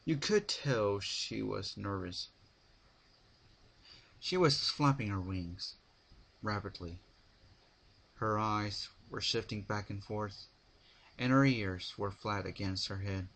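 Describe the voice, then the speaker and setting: A man reading a story aloud as dramatic narration, an amateur who stumbles haltingly over some words. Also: lowish voice, gravelly, a bit bright.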